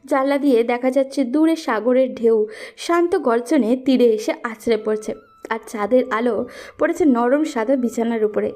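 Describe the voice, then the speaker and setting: A woman's voice: on the high side, normal speed, mellow. A woman narrating a scripted romantic story as its storyteller.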